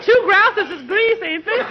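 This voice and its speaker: A man voicing a jolly, chuckling American female cook in folksy falsetto for a 1940s radio comedy. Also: exaggerated voice. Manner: folksy, exuberant, ungrammatical. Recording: muffled, noisy old radio recording with audience.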